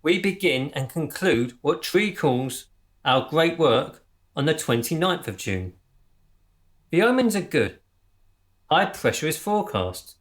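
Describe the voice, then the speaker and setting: A self-important leader of a small crop-circle gang in a radio comedy. His voice sits fairly high and swings widely, precise in delivery but prone to fluster. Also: sing-song, a little slow. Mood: pompous, businesslike. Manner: stammering and spluttering when worked up, otherwise pompous and precise. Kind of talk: radio comedy drama acting.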